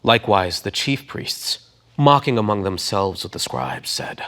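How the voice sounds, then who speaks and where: A man's voice: lowish voice, very animated, unhurried, a bit bright. A man giving a dramatic narration of a scripture story.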